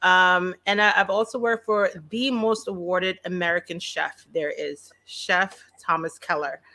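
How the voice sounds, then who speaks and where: Bright-voiced Trinidadian woman, playful. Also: on the low side, a little slow. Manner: animated, playful, drops into dialect. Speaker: panel guest, food blogger and podcaster. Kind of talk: casual video call panel chat.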